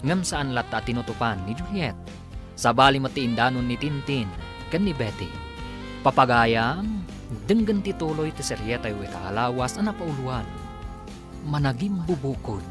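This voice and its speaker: Male announcer for radio serial: mid-pitched, dramatic, swinging intonation, music bed. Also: very animated, moderate pace. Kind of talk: dramatic scripted radio narration.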